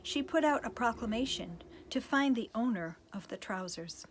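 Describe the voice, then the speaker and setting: A woman's voice: on the high side, lively intonation, normal speed, slight background noise. A woman reading a children's picture book aloud, playful and chuckling, with asides to her listeners. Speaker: storytime reader, teacher.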